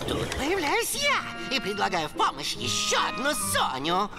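Cartoon fox villain boy: high, theatrical, gloating, extremely animated, falsely kind schemer. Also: normal speed, over loud music, full character voice. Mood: gloating, scheming. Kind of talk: children's cartoon acting.

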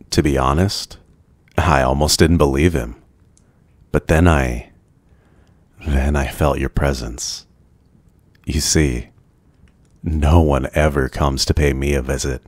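A man's voice: extremely low pitch, a little mellow, no echo. A man playing a lonely, courteous immortal vampire in an intimate ASMR roleplay, speaking formally and hesitantly.

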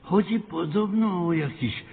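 An actor playing a stern, sly parish priest who preaches in ponderous phrases in a radio play. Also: male voice, sits high, a little slow, vocal fry, husky, narrow, tinny audio, heavily acted. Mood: reproving. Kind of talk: radio drama acting. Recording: thin band-limited radio sound, reverberant, faint noise.

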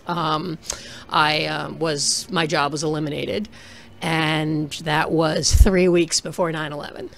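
Lower-voiced woman telling her story. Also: slow pace, gravelly. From New England. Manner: animated, reflective, rises for emphasis. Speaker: interview guest, documentary director. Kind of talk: casual interview storytelling. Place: small home room. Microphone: desk microphone on video call.

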